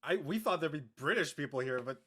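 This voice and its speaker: A man's voice: a little high, expressive, fast, bright, forceful tone, breathy edge. A man from Canada chatting casually as a panelist in an online group conversation. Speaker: panelist and engineer. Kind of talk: casual chat.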